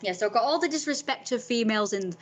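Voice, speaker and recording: A woman's voice: fairly high pitch, animated, fast talker, slight background noise. A young woman, talking in a frank, rambling vlog monologue and swearing freely.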